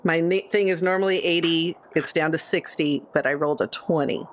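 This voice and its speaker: A woman's voice: sits low, a little fast. A woman playing a tabletop role-playing game, talking casually about her moves and rolls. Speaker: player. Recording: faint room noise and ambience.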